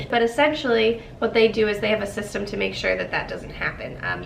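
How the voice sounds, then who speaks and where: A woman's voice: mid-range voice, vocal fry, pushed and bright, roomy sound, distant mic, a little hiss or hum, low-quality recording. A young woman with a stuffy nose from a cold, chatting casually to camera, with lots of ums and likes. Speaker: vlogger.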